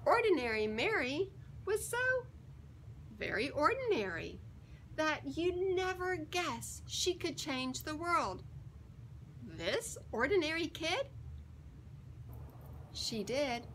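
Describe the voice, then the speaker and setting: A woman storyteller with a high, bright voice reads a picture book to young children. She takes her time, leaning on key words and pausing for dramatic effect. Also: sing-song, a little hiss or hum. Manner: theatrical, emphatic, with dramatic pauses. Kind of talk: expressive read-aloud for young children.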